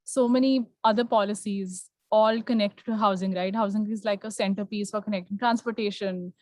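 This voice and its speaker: A woman moderating a panel conversationally, informal and halting as she thinks aloud. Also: mid-range voice, fairly even intonation, a little mellow, no room sound.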